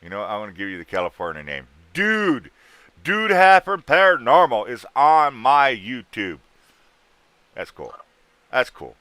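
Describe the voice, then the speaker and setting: A man's voice: mid-range voice, very animated, a little slow, bright, forceful tone, clean tone, dry recording. A man in his thirties, hosting a livestream and bantering with guests in trucker slang. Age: 30s. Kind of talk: casual livestream chat.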